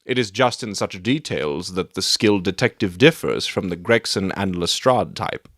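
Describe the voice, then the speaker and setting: A man's voice: sits low, moderate pace, fairly bright tone, no room sound, clean studio sound. A man playing a brilliant, proud detective in a dramatic audiobook reading, lecturing in a precise, self-satisfied, matter-of-fact way.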